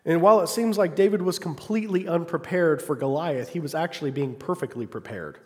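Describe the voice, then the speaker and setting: A man preaching a sermon, calling for "amen" from the congregation and swinging between loud, fervent passages and quiet asides. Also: fairly high pitch, brisk. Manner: call-and-response, asks congregation "Amen?". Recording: long hall reverb.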